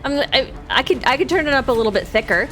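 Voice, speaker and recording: A woman's voice: sits high, animated, fast talker, pushed and bright, soft background music. A woman with a Minnesota accent, streaming a game and chatting casually in a rambling way, laughing easily. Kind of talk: casual chat while playing.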